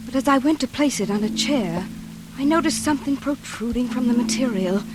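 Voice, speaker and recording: An actress playing a terrified woman in an old radio thriller, with breathless, halting, melodramatic delivery. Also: high voice, expressive, heavy background noise. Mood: hushed dread. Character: terrified woman recounting her mad sister's deadly menace. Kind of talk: 1940s radio suspense acting, dramatic first-person narration. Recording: old radio drama, music behind.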